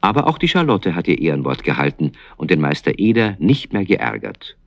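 A man's voice: low voice, big pitch swings, brisk, gravelly, no room sound. A man narrating a children's radio play as a storyteller.

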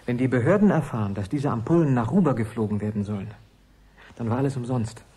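Male radio-play role for an actor: urgent scientist's assistant, husky, mellow-toned, earnestly persuasive. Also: mid-range voice, fast, close to an everyday voice. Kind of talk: German radio play acting.

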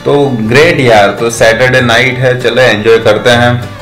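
A man's voice: mid-range voice, fairly even intonation, measured pace, a bit bright. A young man chatting casually as he unboxes takeaway food for his vlog and counts the items aloud. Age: young adult. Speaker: vlogger. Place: bare indoor room, seated on floor. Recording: echoey room with faint music.

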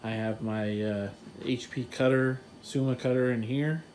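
A man's voice: medium pitch, unhurried, slight background noise. Man touring his print shop on a phone. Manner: relaxed, technical, many fillers. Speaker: print shop owner giving a tour. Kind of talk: casual walkthrough tour. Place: print shop offices and warehouse, indoors. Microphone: handheld phone camera, filming while walking.

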